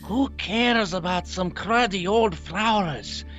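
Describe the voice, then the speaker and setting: A man voicing a fussy, cranky old man in a cartoon comedy, halting and exclamatory. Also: high-pitched, fairly bright tone, lo-fi sound, soft background music. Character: grumpy, fussy prematurely aged old man.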